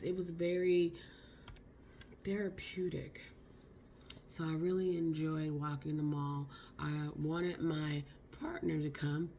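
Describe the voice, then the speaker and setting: A woman, hoarse from a cold, telling a casual, slangy story for her vlog. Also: low voice, a little hiss or hum. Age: 50s. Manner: chatty, expressive, slangy. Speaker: vlogger.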